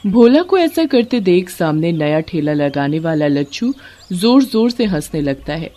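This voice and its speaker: A woman's voice: fairly low pitch, sing-song, measured pace, warm tone. A woman narrating a scripted moral story for children as a storyteller.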